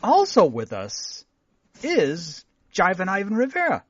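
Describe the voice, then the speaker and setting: A man's voice: sits high, wildly swooping pitch, measured pace, a bit bright, slightly rough, no echo. A man hosting a podcast, opening the show in an upbeat way and introducing his co-hosts. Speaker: podcast host. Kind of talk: casual podcast chat. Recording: dry, dull lo-fi sound.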